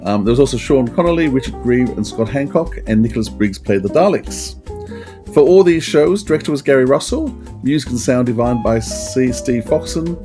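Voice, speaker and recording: A man's voice: mid-range voice, animated, normal speed. Male podcast host with music behind. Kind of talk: conversational trivia rundown and discussion.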